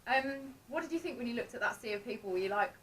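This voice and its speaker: A woman's voice: on the high side, lively intonation, fast, a bit bright. A young woman interviewing a guest in a chatty, upbeat and playful way. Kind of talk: casual interview. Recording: distant mic with faint ambient noise at a mall event.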